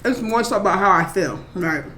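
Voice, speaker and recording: A woman's voice: mid-range voice, a little fast. A woman in her thirties from Maryland, chatting casually and rambling through viewer questions on her eating show. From Maryland, USA. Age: 30s. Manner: rambling, chatty, relaxed, with many fillers. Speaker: mukbang vlogger. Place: home. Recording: echoey room, faint background noise.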